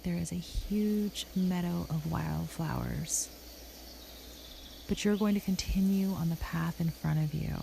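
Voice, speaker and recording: A woman narrating a guided meditation in a soothing, unhurried, softly spoken way with a creaky voice, leading the listener through an imagined scene. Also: lowish voice, slight background noise. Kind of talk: guided meditation, second-person scripted visualization.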